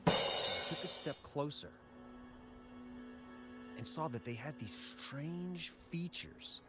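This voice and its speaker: An actor playing a jittery, talkative mine worker in a video game, telling an animated tale of suspense over music. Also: male voice, a little high, takes it slowly. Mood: spooked, suspenseful.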